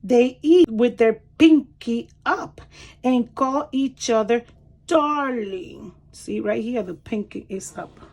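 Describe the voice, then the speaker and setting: Slow-paced woman reading aloud to children. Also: on the high side. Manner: expressive, deliberate, pausing to point out words and pictures. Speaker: teacher reading picture books aloud for children. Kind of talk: animated children's read-aloud with commentary asides.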